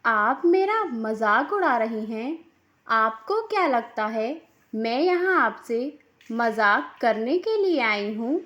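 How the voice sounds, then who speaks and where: A woman voicing a boastful, scornful rich daughter-in-law in a cartoon moral story, quick to take offence. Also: fairly high pitch, lively intonation, a bit bright, dull audio. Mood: haughty, scornful.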